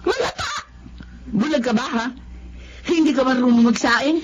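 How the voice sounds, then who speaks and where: A woman's voice: high voice, big pitch swings, moderate pace, lo-fi sound, background noise. An actress playing a cruel, nagging older mother-in-law with a Filipino accent, scolding and sneering in a radio drama. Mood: angry scolding.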